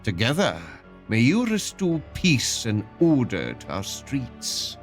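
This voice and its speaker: A man's voice: medium pitch, wildly swooping pitch, slow pace, soft background music, full character voice. An actor playing a pompous king in a fantasy audio drama, proclaiming in a formal, grandiose manner. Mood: commanding. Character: regal, pompous king issuing a formal decree.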